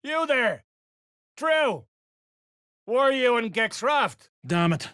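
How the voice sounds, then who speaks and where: An actor voicing a gruff, surly dwarven raider guard in a video game, coarse and slangy, grumbling and barking orders. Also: medium pitch, big pitch swings, slow, fairly bright tone, no echo, clean studio sound. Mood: barking, hostile. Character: gruff, surly duergar raider guard, rough-tongued underling. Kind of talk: video game character voice lines.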